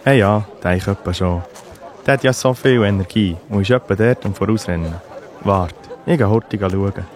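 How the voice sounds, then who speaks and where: A man's voice: lowish voice, normal speed, darkish tone, close to an everyday voice. A man playing a helpful, concerned father in a children's audio drama, speaking earnestly with a Swiss German accent. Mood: easygoing. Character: Josef, helpful, concerned father of young Jesus.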